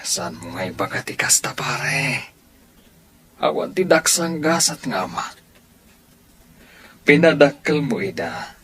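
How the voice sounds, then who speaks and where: A man playing a husky, consoling friend. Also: mid-range voice, very animated, takes it slowly, pauses often, exaggerated voice. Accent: Ilocano. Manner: gentle, reassuring. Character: consoling male friend of the father, calm.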